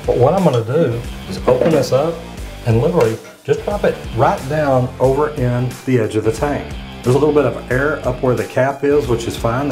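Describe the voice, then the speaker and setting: A man, a plumber casually reacting to and trying out home hacks in a plainspoken, practical way. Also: medium pitch. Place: studio set and a small restroom. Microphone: boom mic on desk and lapel mic.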